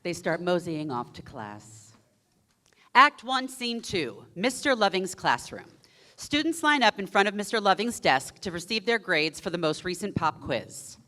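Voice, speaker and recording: Low-voiced young woman reading stage directions. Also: big pitch swings, a little slow, pushed and bright. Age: young adult.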